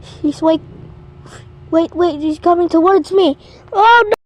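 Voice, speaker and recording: A woman playing a panicked emergency caller in an amateur skit, halting and repeating words. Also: very high-pitched, darkish tone.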